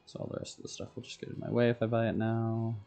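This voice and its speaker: A man's voice: lowish voice, monotone, fast talker, creaky, mellow, soft background music. A man streaming a video game, thinking aloud in short murmured fragments as casual solo commentary. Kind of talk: casual solo gameplay commentary, muttering to himself.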